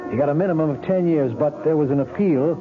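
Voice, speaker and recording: An actor playing a patient, skeptical police detective in a vintage radio drama, speaking in a measured, probing, gently placating way. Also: male voice, fairly high pitch, phone-quality sound, lightly acted. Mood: explaining, placating. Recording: band-limited old radio recording with music.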